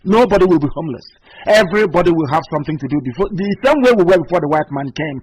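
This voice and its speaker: A man hosting a live call-in radio show, talking forcefully and repeating himself as he urges callers on. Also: a little high, expressive, very fast, poor audio. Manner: forceful, repetitive, urging callers on. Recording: faint noise, live radio stream.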